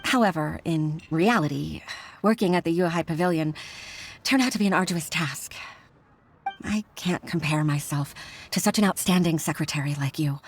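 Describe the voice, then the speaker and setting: Earnest, lower-pitched woman secretary. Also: moderate pace, a little hiss or hum. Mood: sincere, admiring. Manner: polite, hesitant, heartfelt. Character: earnest, admiring woman secretary, a mother, gentle and humble. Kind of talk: anime video game voice acting.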